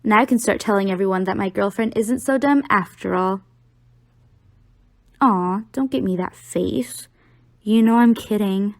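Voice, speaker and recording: A woman's voice: medium pitch, unhurried, vocal fry, pauses often, darkish tone, no room sound. A young woman playing an affectionate, teasing college-age girlfriend in an audio roleplay, talking playfully to the listener.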